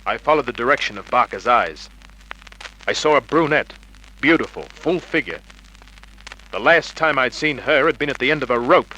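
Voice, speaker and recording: Bright-voiced, hard-boiled radio detective. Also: male voice, mid-range voice, lively intonation, moderate pace, pauses often. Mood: narrating, tense. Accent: Australian. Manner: clipped, hard-boiled, matter-of-fact. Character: tough, wry male private investigator narrating his case. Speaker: lead actor and narrator. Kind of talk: 1950s radio detective drama acting. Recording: noisy vintage radio drama recording.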